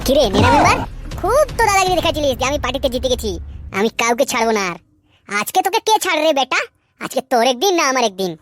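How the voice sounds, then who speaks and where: A man's voice: very high-pitched, very animated, rapid-fire, fairly bright tone, slight background noise, full character voice. A man voicing a menacing, taunting hired thug in a comedy cartoon. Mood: taunting, threatening. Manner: taunting, coarse, threatening. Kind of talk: Bengali comedy cartoon dubbing.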